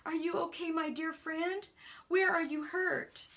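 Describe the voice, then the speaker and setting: A woman's voice: very high voice, fairly even intonation, a little slow, fairly bright tone, voice pushed up, exaggerated voice. A woman voicing an older man in a story, an earnest, questioning and devoted golfing friend. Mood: worried.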